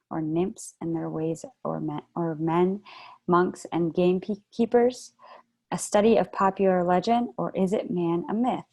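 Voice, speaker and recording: A woman reading a children's novel aloud in a calm, even storytelling manner, heard over a video call. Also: lowish voice, creaky. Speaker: children's read-aloud host.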